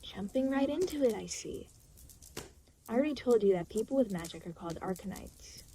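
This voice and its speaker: A woman's voice: mid-range voice, expressive, fairly quick, mellow, slight background noise, natural voice, poor audio. A woman playing an aloof, reluctant, weary demon guide in an intimate audio roleplay, speaking in a dry, matter-of-fact, hesitant way. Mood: dry explaining. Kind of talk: intimate scripted audio roleplay spoken to the listener.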